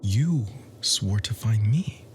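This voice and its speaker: A man playing a bitter, obsessive immortal monster spurned by a lover, in a breathy, theatrical ASMR roleplay monologue. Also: on the low side, expressive, a little hiss or hum. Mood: menacing tenderness. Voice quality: breathy, hushed, whispery. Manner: slow, menacing, theatrical, intimate.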